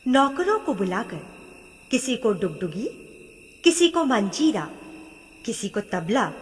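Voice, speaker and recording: A woman reads a scripted moral tale as an expressive storyteller. She speaks slowly with wide melodic movement. Long reverb and faint music accompany her voice. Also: on the high side.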